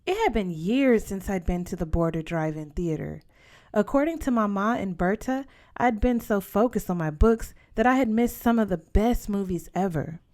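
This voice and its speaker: A woman's voice: medium pitch, darkish tone. A woman reading a children's novel aloud as scripted narration. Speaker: audiobook reader.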